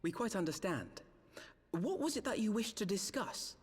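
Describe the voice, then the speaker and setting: Earnest young scholar-diplomat, lighter pitch, husky, precise game dialogue. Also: male voice, sing-song, normal speed, soft background music, full character voice. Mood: concerned. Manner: formal, precise. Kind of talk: scripted game cutscene acting.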